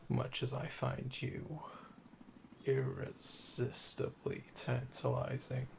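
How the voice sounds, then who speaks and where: Man playing a slow, gravelly, seductive assassin. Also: medium pitch, monotone, noisy background. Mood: calm, controlled, flirtatious. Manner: slow, deliberate, teasing, smug. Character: calm, flirtatious, obsessive male assassin under interrogation. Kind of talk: intimate ASMR audio roleplay.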